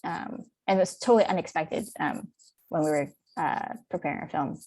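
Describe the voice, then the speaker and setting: A woman's voice: deep voice, fairly quick, darkish tone, dry recording. A woman on a festival Q&A panel describing casting troubles, casual and full of fillers. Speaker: filmmaker at a Q&A.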